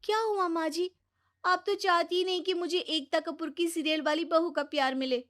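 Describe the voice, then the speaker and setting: A woman's voice: very high-pitched, fairly even intonation, normal speed, a bit bright, voice pushed up. A woman voicing a bubbly, boastful, oblivious young daughter-in-law in a cartoon story, gushing and exclaiming theatrically. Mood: whiny, indignant. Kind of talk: Hindi moral-story cartoon acting.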